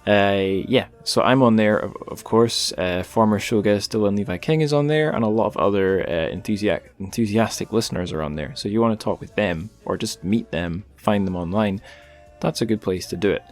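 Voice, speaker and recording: Man, lowish pitch, podcast host, casual talk, wry and informal, music in the background. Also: brisk, few pauses.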